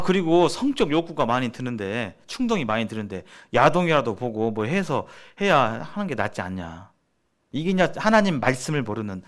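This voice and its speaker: A man's voice: medium pitch, fairly bright tone. A man giving a sermon-like seminar lecture as a preacher, speaking emphatically and repeating phrases for stress. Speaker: preacher leading a church seminar.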